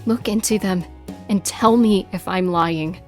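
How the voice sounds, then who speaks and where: A woman's voice: mid-range voice, unhurried, faint music behind, natural voice. A woman voicing a frightened, pleading young woman in a fantasy audio drama, stammering and begging.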